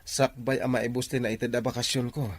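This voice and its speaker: A man's voice: mid-range voice, level tone, a little fast, lightly acted. A man playing a devoted, determined young suitor in an audio drama, earnest and respectful. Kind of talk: Ilocano audio drama acting.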